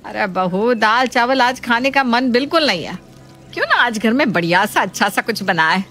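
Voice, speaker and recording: A woman voicing a homely middle-aged mother-in-law who coaxes and gently scolds in a family story, with faint noise behind. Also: a little high, expressive, normal speed. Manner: coaxing, explanatory.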